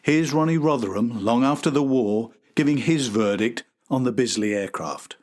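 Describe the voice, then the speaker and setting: A man's voice: mid-range voice, moderate pace, darkish tone. A man hosting a podcast, reading a scripted historical documentary narration.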